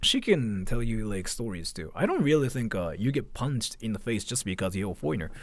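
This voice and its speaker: A man's voice: on the low side, very animated, a little fast, a little hiss or hum. A man chatting casually on a livestream, informal and slangy, often putting questions to his viewers. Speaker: live streamer. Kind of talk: casual livestream chat, commenting and answering viewers.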